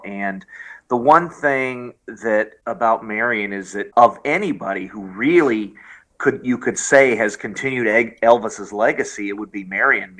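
Male interview co-host, bright forceful voice, halting and conversational. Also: lowish voice, rough recording. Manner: halting, repeats phrases. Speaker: show co-host.

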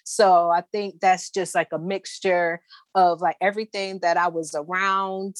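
Woman from Detroit, chatty guest, animated anecdotes, dry recording. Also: fairly low pitch, a little slow, dry recording. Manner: lively storytelling, many fillers. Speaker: urban planner guest. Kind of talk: casual conversation.

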